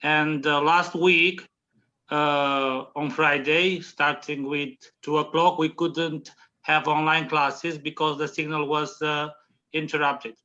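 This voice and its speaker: Guy, bright tense voice, muffled video call, stops and repeats himself. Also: on the high side, level tone. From Romania. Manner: halting, repeats words, defends politely. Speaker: teacher. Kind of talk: online video meeting chat.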